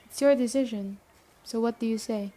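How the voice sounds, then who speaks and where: A woman acts out a stern yet caring female leader of an apocalypse survivor camp. Her voice sits a little high but is very mellow and soft-edged. She talks to the listener directly in a brisk, matter-of-fact ASMR roleplay style. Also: natural voice. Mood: stern, authoritative.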